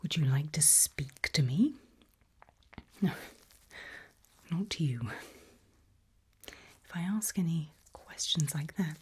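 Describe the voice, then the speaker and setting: A woman softly whispering a medical exam roleplay in ASMR style, giving gentle, deliberate instructions with pauses. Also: low voice. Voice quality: soft breathy whisper. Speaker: ASMR performer playing an examiner.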